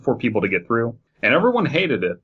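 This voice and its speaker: A man's voice: medium pitch, wildly swooping pitch, fast, breathy edge, muffled recording. A man co-hosting a casual, opinionated discussion podcast, talking in a rambling, explanatory way. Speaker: podcast co-host.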